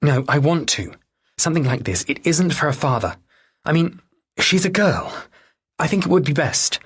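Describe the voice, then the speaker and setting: A man's voice: on the high side, expressive, normal speed, a bit bright, husky, voice pushed up. An audiobook portrayal of a worried mother and wife, acted by a man. The voice is lifted well above a natural male register. She pleads earnestly, with some hesitation. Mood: earnest, insistent.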